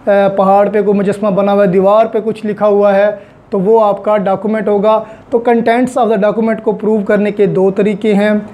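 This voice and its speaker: A woman's voice: mid-range voice, flat delivery, normal speed, dull audio. A woman with a Pakistani accent giving an explanatory law lecture in a didactic way, mixing in English technical terms. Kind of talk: explanatory online lecture with everyday examples.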